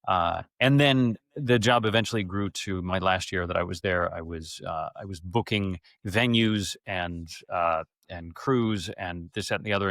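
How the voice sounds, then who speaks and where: Animated storytelling man, lowish voice, dry sound. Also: no room sound. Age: middle-aged. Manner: animated, rambling, acts out quoted speech. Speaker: interview guest, actor. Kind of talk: casual conversational storytelling.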